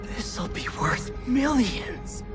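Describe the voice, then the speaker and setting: A woman's voice: a little high, big pitch swings, drawn-out words, exaggerated voice. A woman playing a nervous, eager young adventurer's sidekick in a video game cutscene. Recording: very loud music underneath.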